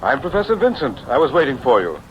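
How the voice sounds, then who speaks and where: An actor playing a sinister, falsely soothing doctor with a theatrical manner in an old-time radio thriller. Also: male voice, medium pitch, big pitch swings, quick pace, natural voice. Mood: menacing calm. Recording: muffled old radio recording, rain noise.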